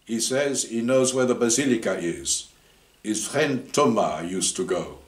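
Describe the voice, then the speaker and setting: A man's voice: mid-range voice, expressive, a little slow, reverberant, pitched above the performer's own voice, natural voice. A man reading aloud as a grizzled, wary French archaeologist who searches for words in English. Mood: grave.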